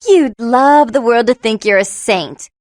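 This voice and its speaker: Woman acting a high, girlish, taunting vampire vixen. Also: unhurried, darkish tone, no room sound, studio quality, lightly acted.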